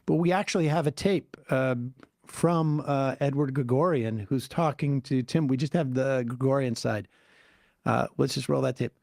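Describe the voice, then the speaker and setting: A commentary host who keeps pausing a played clip to make sarcastic points. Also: medium pitch, vocal fry, a little mellow, no echo, clean studio sound. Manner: interrupts to pause the clip, sarcastic.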